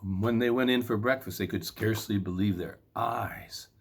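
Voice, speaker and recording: Male storyteller, low-pitched, husky, playful, expressive, children's book reading. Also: normal speed. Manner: playful, expressive, dramatic emphasis.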